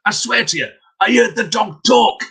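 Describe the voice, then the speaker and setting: A man voicing a panicked, cursed peddler, shouting in desperation. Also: sits high, normal speed, gravelly, breathy edge, voice pushed up, heavily acted. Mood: frantic. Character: cursed middle-aged male peddler, frantic.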